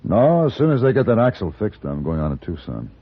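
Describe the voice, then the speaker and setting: A low, animated male voice on a dull vintage radio recording, a gentleman gunslinger of the Old West. He is terse, dry and commanding, sure of himself in every line. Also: brisk, no echo. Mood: cool, curious. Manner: terse, self-assured, dry. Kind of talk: old-time radio western acting. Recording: muffled old radio broadcast with music.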